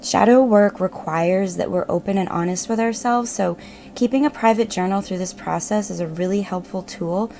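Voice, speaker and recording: A woman narrating a guided meditation in a gentle, measured, reassuring manner. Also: medium pitch. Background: meditative music.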